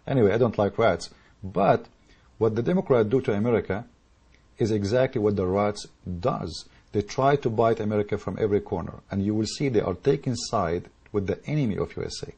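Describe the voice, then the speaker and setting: Male commentator, English, dark mellow husky voice, heated monologue with repeated restarts. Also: lowish voice, animated, a little fast. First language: Arabic. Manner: rhetorical questions, repetitive restarts, emphatic. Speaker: political commentator. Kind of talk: impassioned monologue to camera.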